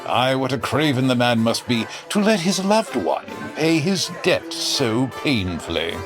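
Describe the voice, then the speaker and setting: A man's voice: mid-range voice, big pitch swings, measured pace, music in the background. A man playing a villainous, boastful medieval English sheriff in an audio drama, speaking pompously in archaic English. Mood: gloating menace. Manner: pompous, theatrical.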